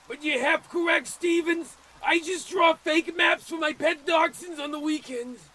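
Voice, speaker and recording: Pompous, cutting literary snob for radio comedy, pitched extremely high. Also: male voice, sharp tone, full character voice, low-quality recording. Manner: pompous, haughty. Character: snooty, savage male literary critic. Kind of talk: old-time radio comedy acting.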